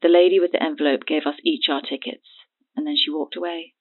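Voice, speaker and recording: Over a tinny, narrow phone line comes a cagey, sardonic woman with a lowish voice. She talks with haughty mockery, needling whoever listens, in natural audio drama style. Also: fairly even intonation, quick pace. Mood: scornful, recounting. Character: Birdie, cagey mocking woman selling her testimony. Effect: phone line filter.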